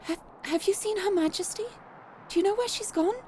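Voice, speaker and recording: Woman putting on an anxious, high-pitched little rabbit girl. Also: a little fast, warm tone, slight background noise. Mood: anxious, pleading. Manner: fretful, pleading, prim. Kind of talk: visual novel character reading.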